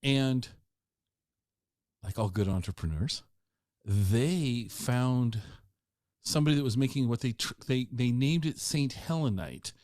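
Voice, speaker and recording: A man's voice: medium pitch, measured pace, long pauses, a little mellow, no echo, studio quality. A man in his sixties hosting a podcast interview, chatting casually and asking loose, meandering questions. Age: 60s. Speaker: podcast host.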